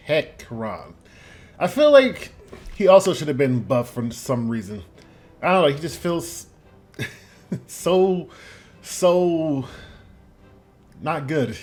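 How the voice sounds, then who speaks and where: A man streaming a game, giving informal live commentary with wry, muttered asides. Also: fairly high pitch. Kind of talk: casual live gameplay commentary. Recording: game music faintly behind voice. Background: game music and character voice lines.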